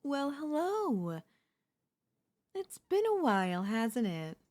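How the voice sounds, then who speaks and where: A woman's voice: high voice, big pitch swings, a little mellow, voice pushed up, exaggerated voice. Possessive girl-next-door bully played by a female performer, ASMR roleplay, coy and smug, lingering pace. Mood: smug teasing. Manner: smug, coy, drawn-out teasing. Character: teasing, possessive young woman, former childhood bully next door.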